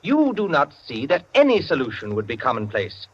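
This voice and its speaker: A pompous clubman storyteller lectures his listeners with condescending relish in a 1940s radio play. His pitch moves with lively rises and falls. The old broadcast sounds dull and muffled. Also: a little fast, slightly rough.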